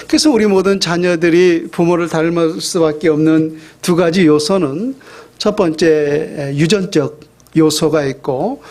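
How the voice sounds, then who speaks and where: A middle-aged man, a pastor, preaching a sermon in a conversational way, with fillers and slow, stressed phrases. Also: a little high, a little mellow.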